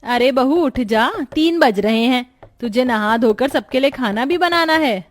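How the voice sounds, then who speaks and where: Lady doing a bossy cartoon mother-in-law, scolding and demanding, high voice. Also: animated, a little slow, no room sound. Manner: commanding, scolding.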